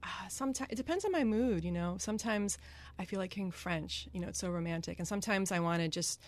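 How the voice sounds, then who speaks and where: A woman's voice: mid-range voice, quick pace. A woman from Connecticut, a singer-songwriter, chatting as a guest in a radio studio interview, with many ums and easy laughs. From Greenwich, Connecticut.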